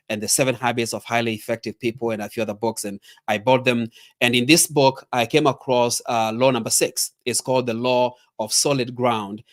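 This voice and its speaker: A middle-aged man from Kenya with a Kenyan accent, giving a motivational training talk as a leadership coach, emphatic, repeating key points and addressing listeners directly. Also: medium pitch.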